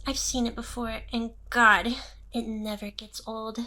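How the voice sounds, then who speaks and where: A smug, possessive demoness boss, played by a woman in an intimate ASMR roleplay. Her voice sits a little high and moves very slowly, lingering in seductive pauses. She teases like an ancient ruler who is used to owning whoever is listening. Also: little pitch movement, bright, forceful tone. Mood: teasing, intrigued. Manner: slow, teasing, seductive delivery with pauses.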